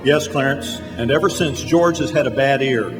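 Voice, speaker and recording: A mid-pitched voice in a reverberant hall, music faint underneath, gives us a man acting a senior angel in a radio play. He is calm, assured and instructive. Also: normal speed, natural voice. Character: calm, authoritative male chief angel, instructing apprentice.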